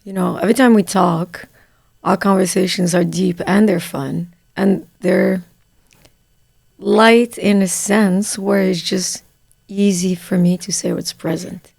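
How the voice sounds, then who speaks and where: A woman hosts a relaxed podcast talk, with a gravelly, creaky edge to her voice. She speaks gently and keeps her guest talking with questions. The sound is dry and close. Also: mid-range voice, measured pace, no echo. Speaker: podcast host, coach. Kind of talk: casual podcast conversation.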